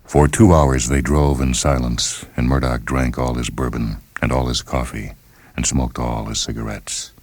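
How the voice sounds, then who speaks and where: Very deep-voiced American male story narrator. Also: level tone, normal speed, darkish tone, no echo. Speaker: narrator and host. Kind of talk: dramatic scripted story narration. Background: music under the reading.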